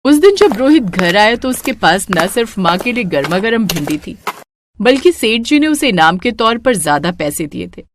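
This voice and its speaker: Expressive woman narrating a story. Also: medium pitch, moderate pace, slight background noise.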